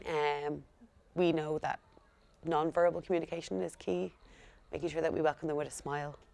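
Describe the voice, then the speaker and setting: A young woman working as a school liaison coordinator, explaining her work in a steady, earnest way. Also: low-pitched, gravelly. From Ireland. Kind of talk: speaking to camera about her work.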